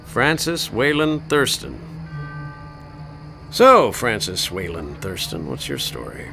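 An actor playing a world-weary, sardonic Boston police detective who asks probing questions in a radio drama. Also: male voice, on the low side, huge pitch swings, takes it slowly, creaky, over music, exaggerated voice. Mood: musing. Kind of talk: 1920s-style radio drama acting.

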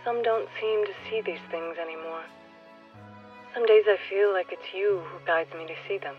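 A woman acting an earnest newcomer in a radio play, confiding with wistful wonder and sometimes dropping to a hush. The sound is slightly muffled, with music underneath. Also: mid-range voice, normal speed, natural voice. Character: earnest nature-loving newcomer woman speaking to a departed listener.

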